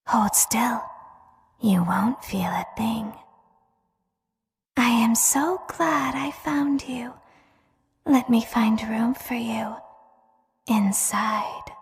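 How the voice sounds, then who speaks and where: A woman voicing a broken, predatory animatronic fox from a horror video game, breathy and sinister. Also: fairly high pitch, heavily acted. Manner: breathy whispering, slow, sinister. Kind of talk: video game villain voice lines. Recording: long reverb.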